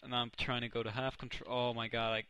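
A young man from the Netherlands with a Dutch accent, casually commentating his own video game match, excitable and often correcting himself. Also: mid-range voice, fast talker, clean tone. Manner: excitable, self-correcting, reacts loudly to plays. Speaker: gaming commentator. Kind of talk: casual let's play commentary.

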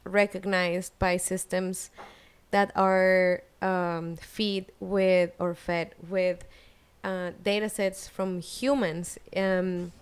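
Slow, smooth-voiced woman from Mexico. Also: lowish voice, little pitch movement, no echo. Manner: hesitant, with many "um" fillers. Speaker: guest artist. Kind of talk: casual interview. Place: living room.

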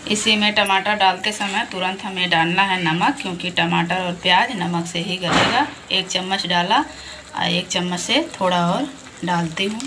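A woman's voice: fairly low pitch, moderate pace. A woman hosting a home cooking channel, explaining each step of a recipe in a patient, instructive voice-over. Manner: patient, repeats "dekhiye" to point things out. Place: home kitchen over a frying pan. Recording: loud frying noise under the voice. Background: loud sizzling and stirring of a pan.

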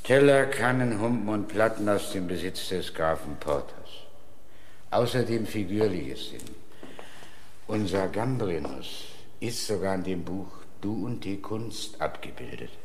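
An actor playing a fussy, pedantic long-serving castle caretaker in a German radio crime drama. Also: male voice, sits low, lively intonation, unhurried, slight background noise. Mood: solemn.

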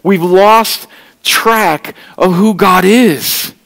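A middle-aged man preaches to his congregation in an earnest, pleading tone, his voice sitting a bit high for a man. The sound carries the long reverb of a church hall. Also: extremely slow, creaky, a bit bright. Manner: walks the stage holding an open Bible. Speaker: pastor. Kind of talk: sermon. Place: church stage, large room indoors.

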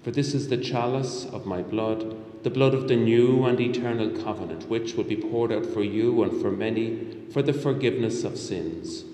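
A man in his fifties, a bishop reciting the prayers of Mass in a measured, solemn, formal way. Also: mid-range voice, mellow. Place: large hospital chapel, indoors. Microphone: microphone on altar stand. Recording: echoey chapel with long reverb.

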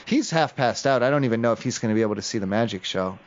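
A man's voice: medium pitch, expressive, very fast. A man making an improvised prank phone call, rambling in an evasive, hesitant way. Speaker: prank caller. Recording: dull lo-fi call audio.

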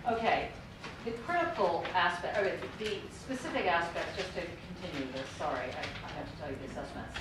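A woman's voice: fairly low pitch, lively intonation, a little fast. A middle-aged woman giving a university lecture in a conversational, wry manner, circling back to her points. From United States. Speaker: professor. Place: lecture room. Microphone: room mic far from speaker. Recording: echoey room, distant mic, background noise.

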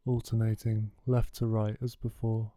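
A man leading a guided meditation, giving soothing, unhurried instructions with gentle pauses. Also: on the low side, level tone, no room sound, studio quality. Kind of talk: guided body scan meditation.

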